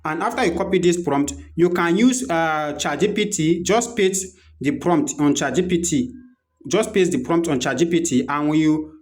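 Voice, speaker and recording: Nigerian man giving step-by-step instructions, higher-pitched. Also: moderate pace. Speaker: tutorial presenter. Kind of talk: unscripted screen-recorded software walkthrough.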